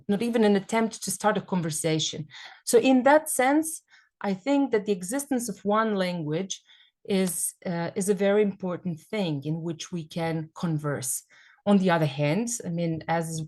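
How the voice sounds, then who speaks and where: Hesitant woman, lowish voice. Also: a little mellow. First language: Serbian. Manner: thoughtful, hesitant, many fillers. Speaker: guest speaker. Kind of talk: academic panel discussion.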